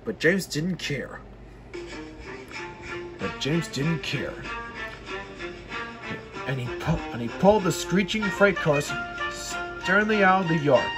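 Halting, slow-paced man reading a children's story. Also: on the high side, expressive, over music. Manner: halting, repeats and corrects words while reading. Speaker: storybook reader. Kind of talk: read-aloud children's story narration.

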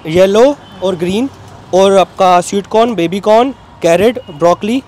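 A man's voice: sits high, moderate pace, a little mellow, a little hiss or hum. A young man running a street food stall, politely explaining his menu items and their protein counts in detail. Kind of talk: casual explaining to a food vlogger.

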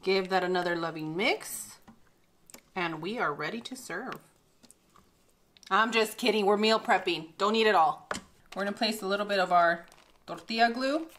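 A woman's voice: medium pitch, normal speed, long pauses, fairly bright tone, slight background noise. Upbeat woman hosting a kitchen demo. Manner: upbeat, chatty, laughs often. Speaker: cooking show host. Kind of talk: casual cooking demonstration. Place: bright home kitchen indoors.

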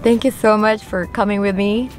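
A woman's voice: mid-range voice, steady tone, moderate pace. A young woman chatting upbeat to her phone camera in a parked car, with many fillers. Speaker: vlogger. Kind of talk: casual vlog chat to camera. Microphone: phone held at arm's length.

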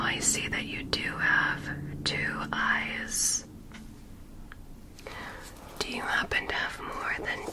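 Casting: young woman as a calm, curious female alien doctor for ASMR roleplay, breathy whispering, very unhurried, gently clinical. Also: medium pitch, close to an everyday voice, rough recording. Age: young adult. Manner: slow, gentle, clinical, politely instructing. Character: calm, curious female alien medical examiner studying a human. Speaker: ASMR performer. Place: small bedroom set, indoors. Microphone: close microphone.

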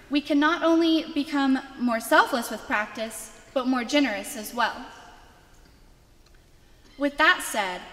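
A young woman with a high voice delivers a homily slowly and earnestly. Her words ring out through a large, echoey church with long reverb. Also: a bit bright. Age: young adult. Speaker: youth homily speaker. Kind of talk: scripted homily read aloud. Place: large church sanctuary, at a pulpit. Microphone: lectern microphone.

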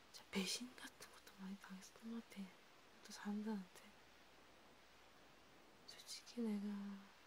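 A woman playing a gentle, patient young vampire counselor in a halting, half-whispered ASMR roleplay, speaking softly and reassuringly. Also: mid-range voice, slight background noise, close to an everyday voice, low-quality recording. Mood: wounded, confiding. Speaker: amateur ASMR creator.

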